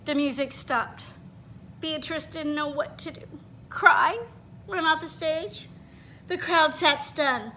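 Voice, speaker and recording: A woman reading a children's picture book aloud in an expressive, animated way, pausing to put questions to her listeners. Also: high voice, a little hiss or hum. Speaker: read-aloud storyteller.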